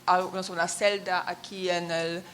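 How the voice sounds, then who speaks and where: A woman who is not a native speaker asks her question at a conference, with a fairly low voice. She gropes for words with many fillers, and faint room noise sits behind her. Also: little pitch movement, normal speed, fairly bright tone. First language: not Spanish. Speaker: audience member asking a question.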